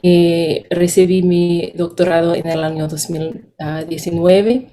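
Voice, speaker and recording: Mellow woman speaking careful Spanish. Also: lowish voice, level tone. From United States. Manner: careful and measured, sometimes searching for words. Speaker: postdoctoral education researcher. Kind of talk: seminar presentation.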